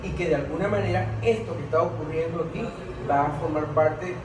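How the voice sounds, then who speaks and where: A man's voice: fairly high pitch, brisk. A Venezuelan man speaking as a political analyst in an informal panel discussion, earnest and insistent, repeating phrases for emphasis. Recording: echoey room, distant mic, clear noise.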